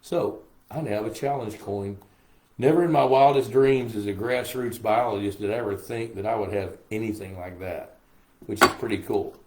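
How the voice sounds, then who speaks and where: An older man, a little hoarse, chatting casually with livestream viewers in a folksy way. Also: on the low side, moderate pace, a little hiss or hum. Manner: chatty, folksy, reads viewer comments aloud. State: hoarse from a lingering cough. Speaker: host. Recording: roomy echo on a live stream.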